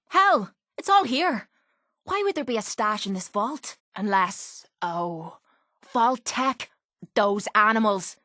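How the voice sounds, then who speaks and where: A woman's voice: mid-range voice, fairly bright tone, dry recording, studio quality. A woman playing a cynical, defiant young female brawler in a video game, with an Irish accent and a blunt, sardonic, slangy delivery. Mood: wry, bitter. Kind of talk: video game character dialogue.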